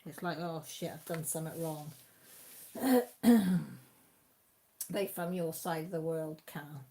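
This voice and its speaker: A woman's voice: sits low, steady tone, slow, dark tone. A woman with a Mid Wales Radnorshire accent, hosting a casual live chat on her channel, reading out comments and laughing often. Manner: chatty, homely, laughs often. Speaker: YouTube channel host.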